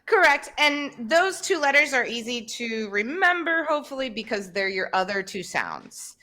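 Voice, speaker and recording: A woman, hosting a podcast and explaining English pronunciation clearly over a video call. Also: high voice, big pitch swings, normal speed, pushed and bright. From Tennessee, United States. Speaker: podcast host and English teacher. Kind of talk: casual teaching chat. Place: home room, indoors. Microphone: video call, webcam.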